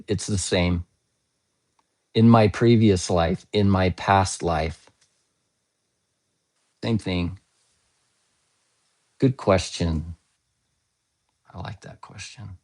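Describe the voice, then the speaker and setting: A man speaks slowly in a low, hoarse, scratchy voice. He chats casually with viewers during a live-stream English lesson. He explains patiently and often spells words out letter by letter. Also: a little mellow. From United States.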